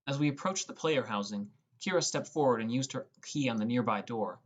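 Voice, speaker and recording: A young man narrates an audiobook in the first person, reading from a script. His voice sits at an ordinary middle pitch. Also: expressive, brisk, highs cut off.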